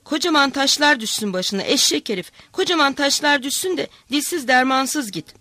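A woman's voice: high-pitched, expressive, moderate pace, pushed and bright, dry recording, voice pushed up. A woman voicing a wailing grieving village woman. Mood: cursing.

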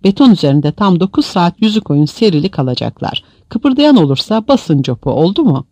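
A man voicing a harsh, commanding military officer who barks forceful orders in a dramatized audiobook. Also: high voice, big pitch swings, brisk, no echo, exaggerated voice. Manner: forceful, authoritative, shouted.

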